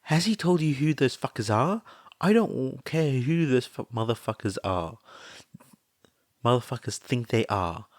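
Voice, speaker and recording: A man voicing a brash, foul-mouthed blonde mother who yells and curses in a rage, raised high above his usual voice, in a dry recording. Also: animated, a little slow, dry recording, lightly acted. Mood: enraged. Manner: loud cursing rant.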